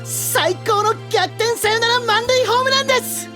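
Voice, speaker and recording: A woman's voice: extremely high pitch, moderate pace, pushed and bright, soft background music. A woman playing a sincere, spirited boy in an anime-style audio drama, with an earnest boyish manner. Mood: deeply moved. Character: sincere, spirited baseball-loving boy, a protective older brother.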